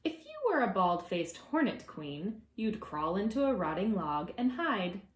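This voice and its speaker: A woman reading aloud to preschoolers as their teacher, expressive and gentle, drawing out words and adding conversational asides. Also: mid-range voice, roomy sound. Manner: gentle, deliberate, drawing out words for young listeners.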